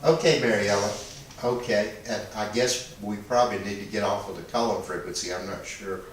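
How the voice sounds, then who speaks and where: A man's voice: on the low side, fairly quick. A man making casual two-way radio calls as an amateur operator, speaking in a hesitant, apologetic way. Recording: echoey, distant, noisy internet radio link.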